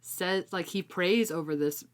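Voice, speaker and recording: Female co-host, lower-pitched voice, informal book chat, animated storyteller who voices little quoted reactions. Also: unhurried. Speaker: co-host of a book discussion show. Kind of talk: casual chat, book discussion.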